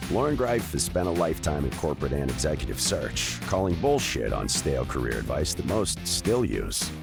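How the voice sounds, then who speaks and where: A man's voice: a bass voice, big pitch swings, normal speed, gravelly. A man reading a punchy, emphatic podcast intro as a voice-over. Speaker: podcast announcer. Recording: voice-over with guitar music behind.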